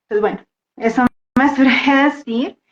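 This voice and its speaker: A woman's voice: high-pitched, unhurried. Colombian woman in an echoey room. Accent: Colombian, Bogotá. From Bogotá, Colombia. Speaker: guest dance therapist. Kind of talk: casual personal introduction in a live talk. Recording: echoey room, dull muffled audio.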